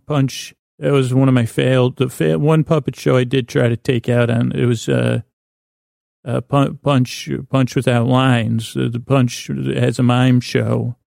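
A man hosting a bedtime sleep podcast in a creaky, gravelly voice, meandering and lulling, often halting and repeating himself. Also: medium pitch, level tone, a little mellow, no room sound, studio quality. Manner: lulling, soothing. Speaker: podcast host.

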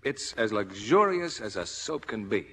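A man's voice: lowish voice, very animated, normal speed, a bit bright, husky. A man working as an announcer, reading a scripted 1950s radio advertisement. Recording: echoey theater, vintage radio broadcast.

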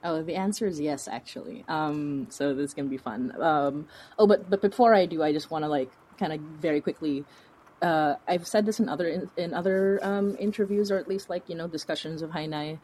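A woman from the Philippines telling stories casually as an interview guest, in an animated, chatty, self-interrupting way. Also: sits low, brisk. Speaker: podcast guest, fiction podcast creator. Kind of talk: casual conversational storytelling.

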